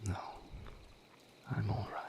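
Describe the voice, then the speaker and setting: A man playing a weary vampire lover who confides a painful past in a hushed, halting, solemn voice, as an intimate audio roleplay. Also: noisy background, natural voice. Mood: tender, grateful. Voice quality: hushed, breathy whisper with gravelly creak.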